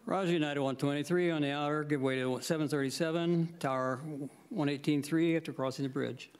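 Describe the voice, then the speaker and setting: A man's voice: a little high, steady tone, brisk, clear, resonant tone. A man doing a demonstration as an airline pilot, giving clipped read-backs in aviation radio phraseology.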